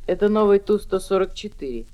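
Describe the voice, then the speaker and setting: A woman reading textbook dialogue lines in even, clear model speech. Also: medium pitch, brisk.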